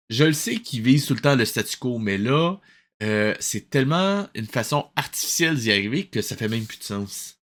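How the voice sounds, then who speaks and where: A man's voice: mid-range voice, fairly bright tone. A man with a Québécois accent, hosting a casual cartoon review, exasperated and sarcastic and dropping English words into his talk. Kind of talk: casual commentary.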